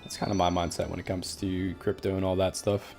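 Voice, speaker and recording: Laid-back young Canadian streamer, deep, gravelly voice, faint music underneath. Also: male voice, flat delivery, brisk, darkish tone. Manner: laid-back, reads chat aloud, many fillers. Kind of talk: casual live-stream chat while gaming.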